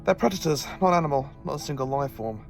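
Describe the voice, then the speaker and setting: An actor playing a quirky, knowing professor who travels in time, explaining things wryly and haltingly in an audio drama. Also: male voice, fairly high pitch, animated, creaky, faint music behind. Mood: urgent.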